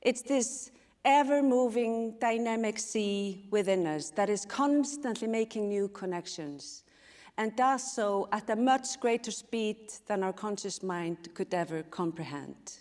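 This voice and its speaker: A woman's voice: mid-range voice, animated, darkish tone. Icelandic woman speaking in a reverberant hall. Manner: reflective, measured, with pauses and fillers. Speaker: conference speaker. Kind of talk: live design talk. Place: large event hall. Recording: long reverb of a large hall.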